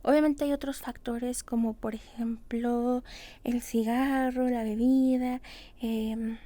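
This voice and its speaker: A woman doing an ASMR roleplay as a dental hygienist, speaking softly and breathily in a tender, soothing way, close to the microphone. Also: fairly high pitch, fairly even intonation, slow, dry recording. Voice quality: soft whispery, breathy. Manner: tender, soothing, uses many diminutives. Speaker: ASMR creator role-playing a dental hygienist.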